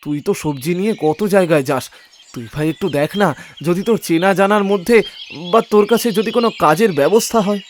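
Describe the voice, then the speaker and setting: A man's voice: high voice, quick pace, a little hiss or hum, lightly acted. A man voicing a poor, earnest cart-puller. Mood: sorrowful. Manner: pleading and sorrowful. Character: poor honest male cart-puller, young adult, downtrodden. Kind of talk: animated storybook voice acting. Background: background music.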